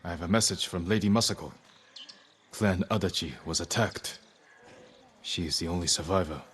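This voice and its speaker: A man playing an honourable samurai lord in a video game, restrained and earnest, speaking in a measured, formal way. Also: deep voice, a bit bright, a little hiss or hum. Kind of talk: cinematic video game acting.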